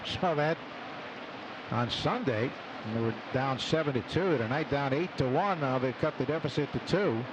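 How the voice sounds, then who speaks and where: A man's voice: mid-range voice, normal speed. A man calling baseball play-by-play live on the radio from a stadium booth. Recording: radio broadcast over loud ballpark background noise.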